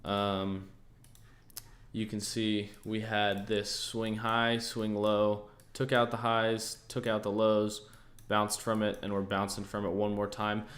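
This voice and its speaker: A young American man hosting a casual livestream, easygoing and thinking aloud, often pausing on fillers and restarting his sentences. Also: on the low side, completely flat delivery, a bit bright. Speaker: trading livestream host. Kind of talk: casual livestream chat answering viewers.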